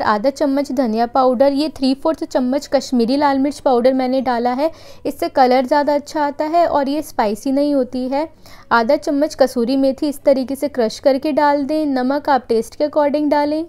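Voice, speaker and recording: A woman giving a calm, patient step-by-step voice-over for a recipe video in her kitchen. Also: sits high. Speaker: cooking channel host.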